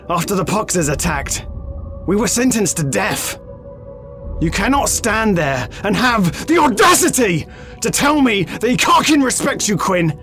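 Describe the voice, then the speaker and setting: A man's voice: high voice, fairly bright tone, heavily acted. A man playing a cynical, defiant veteran soldier in an audio drama, insistent and persuasive, pressing with pointed questions.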